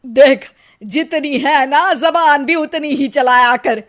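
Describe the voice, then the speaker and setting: A woman's voice: high-pitched, slow, fairly bright tone. A scolding cartoon mother-in-law. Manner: scolding, sarcastic. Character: nagging, quarrelsome older mother-in-law. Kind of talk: cartoon voice acting.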